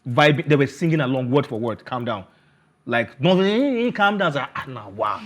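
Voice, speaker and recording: A man with a Nigerian accent hosting a casual entertainment chat, animated and jokey, often repeating phrases for emphasis. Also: mid-range voice, normal speed. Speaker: TV talk show host.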